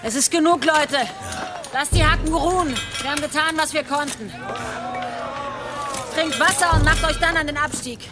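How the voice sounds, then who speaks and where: A woman playing a steely, authoritative village leader in a German audio drama, resolute and measured as she commands. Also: high voice, over loud music, natural voice. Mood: commanding, calling out.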